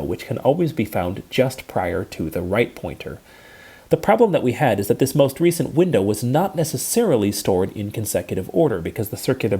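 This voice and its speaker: A man lecturing in a measured, methodical way, narrating a scripted technical lesson step by step. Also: fairly low pitch, warm tone, husky.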